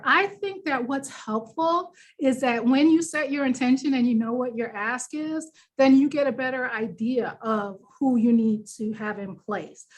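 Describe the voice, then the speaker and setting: A woman with a New York accent presenting a workshop in a conversational, encouraging, explanatory way, leaning on key words for emphasis. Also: on the high side. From New York, United States. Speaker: workshop presenter, business coach.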